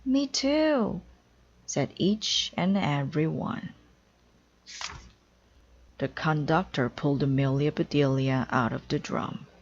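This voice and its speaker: A woman reads a children's story aloud at a slow, measured pace. Her voice sits a little low and has a husky, unpolished tone, captured on a somewhat muffled recording. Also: fairly even intonation. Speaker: storybook reader. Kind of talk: children's read-aloud narration.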